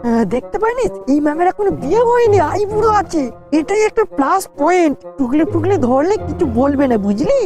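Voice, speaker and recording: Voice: extremely high pitch, lively intonation, brisk, hardly any pauses, music in the background. A performer voicing a cocky schoolboy exam cheat in a comedy cartoon, crude and slangy.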